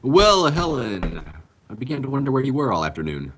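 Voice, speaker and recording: A man playing a smooth, easygoing and slightly sly suspected impostor in an old time radio drama. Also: medium pitch, very animated, a little fast, background noise, lightly acted. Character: smooth, casual man posing as a returned brother.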